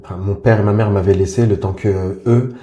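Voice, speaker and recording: Low-voiced man in his forties, unhurried. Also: steady tone, mellow. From Congo. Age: 40s. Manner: hesitant, many fillers and restarts, informal. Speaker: podcast guest. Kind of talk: casual interview talk. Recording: roomy echo with faint music.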